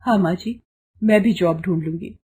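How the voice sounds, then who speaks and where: Woman as a gentle, dutiful young daughter-in-law, mellow. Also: lowish voice, normal speed, slight background noise, natural voice. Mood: cheerful, dutiful. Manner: gentle, soothing, respectful.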